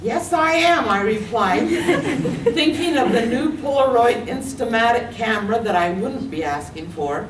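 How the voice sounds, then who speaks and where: A woman reading her own memoir aloud at a library event, telling it with lively storytelling. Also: a little high, unhurried, noisy background. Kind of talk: literary reading. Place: library meeting room. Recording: echoey room, distant mic.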